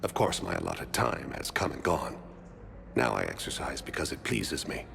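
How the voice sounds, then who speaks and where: An actor voicing a gravely ill alien man in a video game, serene and courteous, with formal, measured phrasing and a deep gravelly voice. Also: very animated, a bit bright, full character voice. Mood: calm, reflective. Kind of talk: video game cutscene dialogue.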